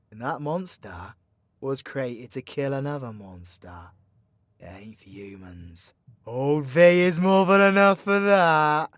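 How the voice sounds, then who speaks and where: A man's voice: medium pitch, dry recording. A British man playing a cocky, foul-mouthed warlock villain in an audio roleplay, with a brash, theatrical manner and crude slang.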